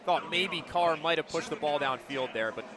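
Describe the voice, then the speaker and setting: American male football analyst, fairly high voice. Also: moderate pace, crowd noise. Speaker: radio color commentator. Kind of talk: live football analysis between plays. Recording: clear stadium noise behind broadcast commentary. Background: outdoor stadium ambience.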